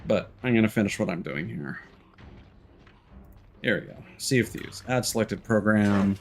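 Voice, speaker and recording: Gravelly, low-voiced man streaming games. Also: expressive, moderate pace, slight background noise. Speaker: live streamer. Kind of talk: casual live gaming stream talk, thinking aloud.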